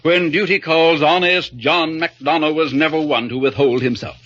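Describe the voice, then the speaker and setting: An actor playing a pompous, scheming veteran small-town politician in an old-time radio drama, speaking in oily, formal, grandiloquent phrases. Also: male voice, a little high, fairly even intonation, moderate pace, a bit bright. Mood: smug, persuasive. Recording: dull lo-fi old radio recording.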